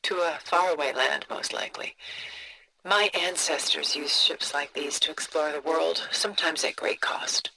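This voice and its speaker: An actress playing a refined, cultured, coolly composed older woman in a video game, speaking in a poised, deliberate, articulate way. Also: low voice, fairly even intonation, vocal fry, background noise. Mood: reflective, composed. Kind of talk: scripted video game dialogue, art commentary.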